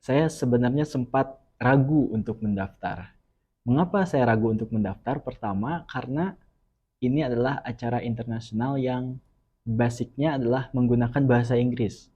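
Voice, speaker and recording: Young man, earnest and measured. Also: mid-range voice, breathy edge, dull audio. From West Java, Indonesia. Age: young adult. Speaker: student guest. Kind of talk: podcast interview.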